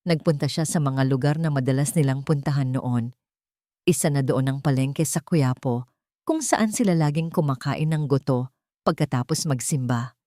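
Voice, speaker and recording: A woman's voice: rumbling low, moderate pace, dark tone, dry recording, studio quality. A woman narrating a dramatic fictional story as a scripted audiobook.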